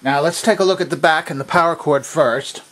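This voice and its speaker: A man's voice: a little high, brisk, background noise. A man giving an instructional demonstration in a methodical, precise, explanatory way. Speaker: demonstrator of a vintage machine. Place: indoor workshop at a workbench.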